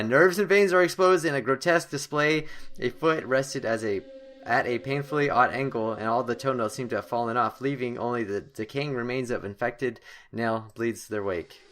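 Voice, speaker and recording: A young man hosting a horror game playthrough, reading the story text aloud in an excitable, dramatic way and stumbling now and then. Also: medium pitch, moderate pace. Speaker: gaming video host.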